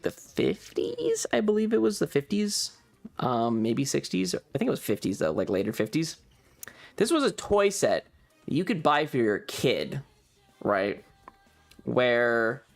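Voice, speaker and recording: Male streamer, mid-pitched and lively in intonation, sweary, amused, rambling casual chat over background music. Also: creaky. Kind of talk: casual livestream chat recounting a trip.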